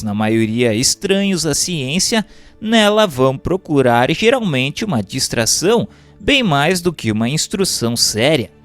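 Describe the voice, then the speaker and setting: A Brazilian man reading formal scripted narration aloud in a measured, declamatory way, like an audiobook. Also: fairly high pitch. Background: music.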